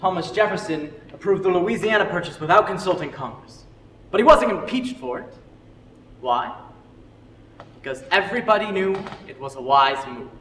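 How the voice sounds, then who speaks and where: A man's voice: high-pitched, expressive, moderate pace, fairly bright tone. A man playing an earnest, opinionated history teacher who defends his methods, in amateur stage drama. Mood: lecturing. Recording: echoey hall, faint noise.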